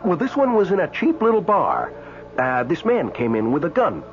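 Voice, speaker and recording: A muffled, noisy old radio drama recording carries an excitable ghost. His voice is high and wildly animated, rambling on eagerly and breathlessly like someone bursting to tell his news. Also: normal speed. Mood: excited, eager. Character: excitable dead man, giddy eager ghost doing good deeds.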